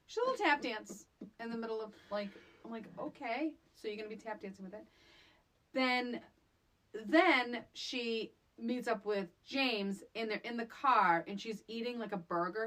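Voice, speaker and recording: A woman co-hosting a podcast, chatting casually about a movie in an animated, exclamatory, conversational way. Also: on the high side, moderate pace, poor audio. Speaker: podcast co-host. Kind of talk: casual movie recap chat.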